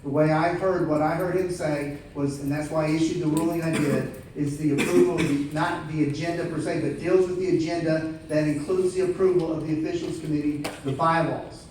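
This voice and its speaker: A man chairing a meeting, moderating informally and explaining himself in a halting way. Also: a little high, fairly even intonation. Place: large indoor meeting room. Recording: very echoey room, distant, faint noise.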